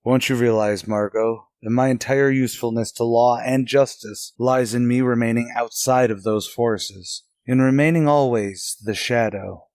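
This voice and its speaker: A man's voice: mid-range voice, fairly even intonation, normal speed, hardly any pauses, a little mellow, no echo, clean studio sound, lightly acted. Suave secret crime fighter, played by a man: mid-range voice, resolute, polished, old-fashioned radio melodrama. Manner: genteel, earnest, theatrical. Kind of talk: old-time radio drama acting.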